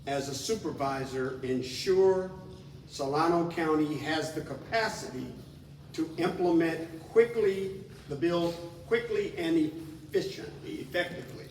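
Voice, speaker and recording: A man's voice: a little high, a little mellow, a little hiss or hum. A man moderating a forum, reading out audience questions in a loose, joking way with some fillers. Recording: distant voice in a roomy hall.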